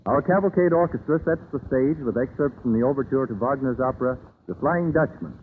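A man giving formal, measured, declamatory scripted announcements in the style of 1930s radio. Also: medium pitch, animated, slight background noise. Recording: tinny band-limited old radio broadcast.

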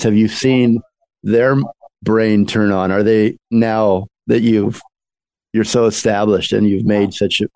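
A man's voice: lowish voice, creaky, mellow, no echo, poor audio. A man hosting a radio interview, asking long, rambling, earnest questions.

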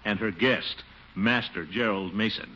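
An actor playing a fussy, fond, slightly pompous middle-aged uncle in a theatrical 1940s radio comedy style. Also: male voice, lowish voice, measured pace, sharp tone. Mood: earnest, explaining. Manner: theatrical, with swooping exclamations and chuckles. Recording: muffled, noisy old radio recording.

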